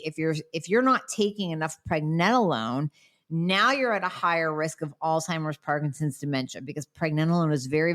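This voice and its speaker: A woman doctor hosting a podcast, answering listener questions in a blunt, emphatic way with sarcastic asides, sounding nasal as she gets over a cold. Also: low-pitched. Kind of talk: solo podcast Q&A.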